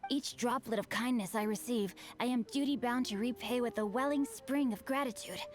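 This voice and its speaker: A woman voicing a refined, bookish teenage boy swordsman in a video game, speaking in a formal, flowery, high-minded way. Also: normal speed, natural voice. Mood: lofty.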